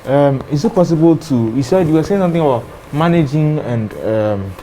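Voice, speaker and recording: A man's voice: on the high side, brisk, mellow, a little hiss or hum, poor audio. A man hosting an interview, asking questions with hesitations and restarts. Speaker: host.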